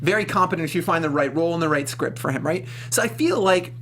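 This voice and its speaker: A man's voice: a little high, lively intonation, fast talker, fairly bright tone. A man co-hosting a casual film review chat, informal and slangy, often checking for agreement. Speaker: talk show co-host. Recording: noisy room with electrical hum.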